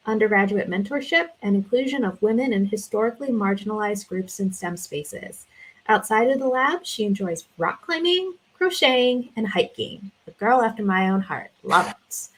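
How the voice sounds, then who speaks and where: Woman host, bright upbeat intro reads, slightly raised pitch, husky edge. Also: low-quality recording. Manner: upbeat, reads guest bio. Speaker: podcast host.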